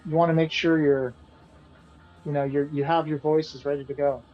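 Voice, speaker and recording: A man, the band's singer and guitarist, answering interview questions in a relaxed, rambling way and often repeating himself. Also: fairly high pitch, moderate pace, muffled recording, soft background music. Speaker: band vocalist and guitarist being interviewed.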